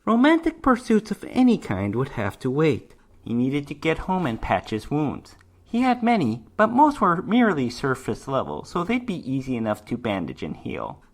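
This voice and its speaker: A man's voice: medium pitch, wildly swooping pitch, normal speed, hardly any pauses. A man reading a short story aloud as dramatic scripted narration, in the role of storyteller.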